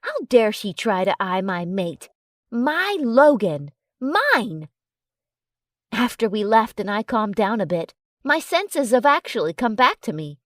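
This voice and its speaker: A woman's voice: mid-range voice, big pitch swings, slow pace, a bit bright, no room sound, studio quality. A woman reading scripted first-person fiction as an audiobook narrator.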